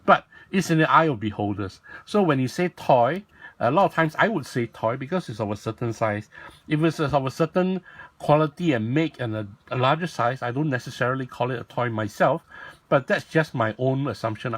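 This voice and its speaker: A man with a raspy voice, talking casually and rambling as he shows off a toy in a video. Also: medium pitch, animated, a little fast, dry recording. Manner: rambling, self-correcting, lots of fillers. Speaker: toy blogger and vlog host.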